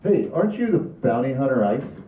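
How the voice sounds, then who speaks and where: A man's voice: medium pitch, very animated, brisk, slight background noise, lightly acted. A man reading the part of a chummy, money-minded private investigator in a casual comedy table read. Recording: roomy, distant, phone-like sound.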